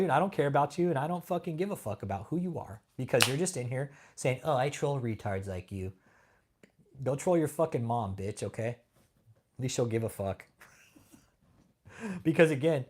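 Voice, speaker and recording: A man from California hosting a live stream, reading chat and taunting a troll with heavy swearing and laughter. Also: on the high side. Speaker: livestream host. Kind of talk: casual livestream chat.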